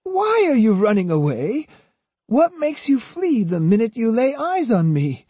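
A crafty old woman from a storybook, played by a male reader, pitched well up high. She is sly and sneering underneath, coating her words in wheedling, poignant sweetness. Her delivery swoops freely. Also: big pitch swings, takes it slowly, dark tone, slightly rough, dry recording, heavily acted. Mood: falsely gentle, wheedling. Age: older. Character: cunning, sneering old Japanese woman feigning gentle sincerity. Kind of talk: audiobook character voice.

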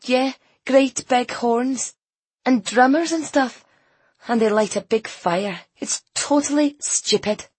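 A woman's voice: high voice, slow, husky, dry recording, voice pushed up, full character voice. A woman voicing a sullen, sarcastic teenage girl from a storybook, dismissive and exasperated, leaning on her words. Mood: exasperated sarcasm. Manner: dismissive, drawling emphasis.

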